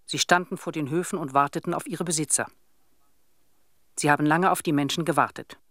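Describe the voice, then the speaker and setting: A woman's voice: sits low, fairly quick, a bit bright, no echo, clean studio sound. An older actress playing a lonely, sorrowful old village woman, telling her story plainly and reflectively in a radio drama monologue. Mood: wistful, resigned.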